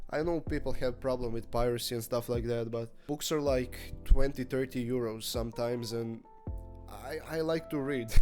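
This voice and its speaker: A man talks straight to the camera in a relaxed vlog style, candid and joking, with the odd swear word. His voice sits at an ordinary middle pitch. Soft music plays faintly underneath him. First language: Croatian. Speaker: book vlogger. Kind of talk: casual vlog monologue.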